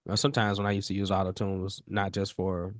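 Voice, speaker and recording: A man with a nasal, somewhat low voice guides a student through audio mixing on a video call. He talks in an easygoing, encouraging way, often repeating words. The sound is dry and close, with no room echo. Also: completely flat delivery, fast talker. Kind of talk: casual one-on-one tutoring on a video call.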